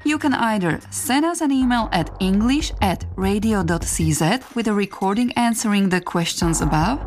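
A woman reading voice-over translations and a station announcement in a measured, earnest way. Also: mid-range voice, expressive, a little mellow, a little hiss or hum. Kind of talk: scripted voice-over and station promo.

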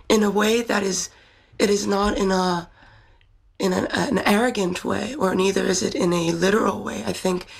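A woman's voice: mid-range voice, gravelly, slightly rough. A young woman from the Czech Republic, a pageant winner answering interview questions in a thoughtful, earnest way. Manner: thoughtful, earnest, fluent English speaker.

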